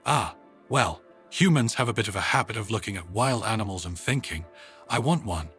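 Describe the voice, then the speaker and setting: A man's voice: on the low side, lively intonation, a little fast, fairly bright tone, faint music behind. A man voicing a wry, good-humoured woman space commander, explaining casually in a narrated sci-fi story. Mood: sheepish, amused.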